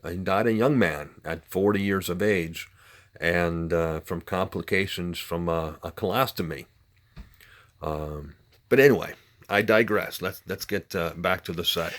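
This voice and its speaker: Low-voiced man demonstrating vintage gear. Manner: halting, explanatory, unscripted. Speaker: vintage radio collector and presenter. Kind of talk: informal demonstration talk to camera.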